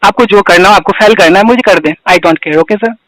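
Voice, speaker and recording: High-voiced young man on the phone. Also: fairly quick, fairly bright tone, dry recording. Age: young adult. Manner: polite but insistent, says sir constantly. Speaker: student answering a phone call. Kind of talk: phone conversation. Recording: recorded phone call.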